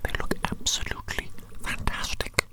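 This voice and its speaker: A woman playing a doting, eccentric evil doctor in a whispered ASMR roleplay, breathy, fussy and affectionate, repeating phrases slowly. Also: natural voice. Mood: doting, pleased.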